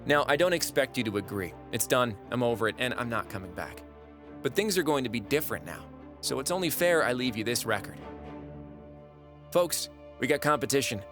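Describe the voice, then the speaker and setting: Irreverent former god played by a man, mid-pitched, chatty and sardonic storyteller for audio drama, over music. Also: lively intonation, a little fast, close to an everyday voice. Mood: wry, defiant. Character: wry, irreverent former god, narrating his own record. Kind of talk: scripted audio drama narration.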